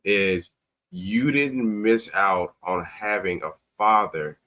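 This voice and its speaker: A man's voice: lowish voice, slow pace, sharp tone. A man talking as a show guest, giving earnest, conversational advice with emphatic repeated phrases.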